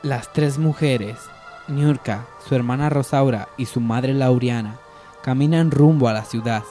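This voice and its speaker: A man's voice: mid-range voice, unhurried, mellow. Male radio soap narrator, mid-range voice, scripted delivery over light music.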